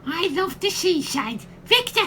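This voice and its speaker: A woman's voice: extremely high pitch, very animated, unhurried, bright, forceful tone, heavily acted. A woman playing a giddy, tipsy holidaymaker in a comedy radio play, rambling on in a nosy, over-familiar way. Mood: cheerful, chatty. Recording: faint background noise.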